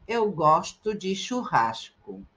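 A middle-aged woman teaching Portuguese, repeating short phrases slowly and with careful articulation for learners. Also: on the low side, very animated, a bit bright. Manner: slow, carefully articulated repetition. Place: indoors, webcam in a circle overlay.

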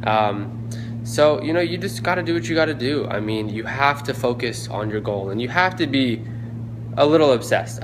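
A young man talks straight into a phone held at arm's length, relaxed and chatty, with a steady mid-range voice. He sounds like a casual fitness vlogger giving friendly, motivating tips, with a faint ambient hum in the background. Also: mid-range voice. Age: young adult. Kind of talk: casual vlog talking to camera. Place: condo gym, then home kitchen. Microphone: phone camera at arm's length.

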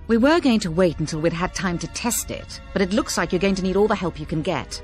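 An actress playing a brisk military officer giving a scripted mission briefing, firm and matter-of-fact. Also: female voice, fairly low pitch, lively intonation, a little mellow, faint music behind, natural voice.

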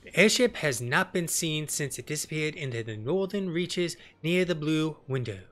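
A man's voice: on the high side, expressive, moderate pace, a bit bright. A man voicing a dutiful female knight officer in a fantasy game, polite and businesslike. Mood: dutiful, reporting. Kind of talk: fantasy game dialogue reading. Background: game music.

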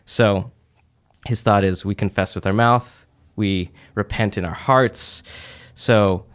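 A young man with a fairly low, smooth and clear voice talks through a Bible teaching in a relaxed, chatty way, full of "uh" and "like." He sits at a studio mic on a boom arm in a small office room. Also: slow pace. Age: young adult. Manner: relaxed, conversational, many "uh" and "like" fillers. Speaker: church teaching podcast speaker. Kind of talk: casual Bible teaching talk.

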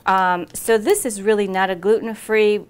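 A woman's voice: mid-range voice, steady tone, normal speed, smooth. A middle-aged woman hosting a cooking show in a home kitchen, chatting casually through each step with frequent ums and restarts.

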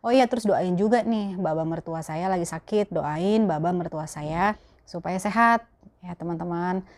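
A woman's voice: on the low side, moderate pace, darkish tone. Young Jakarta woman chatting casually. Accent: Betawi Jakarta Indonesian. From Jakarta, Indonesia. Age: young adult. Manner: chatty, colloquial. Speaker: vlogger answering viewer questions. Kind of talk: casual chat to camera. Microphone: clip-on lapel mic.

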